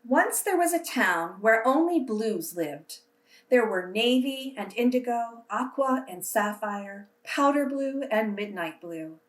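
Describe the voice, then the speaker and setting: A woman reading aloud to children as a storyteller, with a deliberate, simple delivery. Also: a little high, expressive. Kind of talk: children's picture book read-aloud with casual introduction. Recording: roomy echo, distant microphone.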